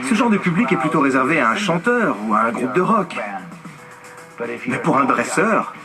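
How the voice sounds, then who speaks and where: A man's voice: fairly high pitch, big pitch swings, moderate pace. A middle-aged man dubbing a documentary subject in an earnest, heartfelt voice-over. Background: music.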